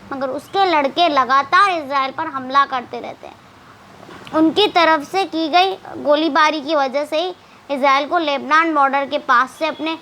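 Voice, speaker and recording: A woman's voice: high-pitched, sharp tone. A woman presenting scripted news commentary with conversational asides, in a sarcastic, mocking and dramatic storytelling manner. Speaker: presenter of an explainer video.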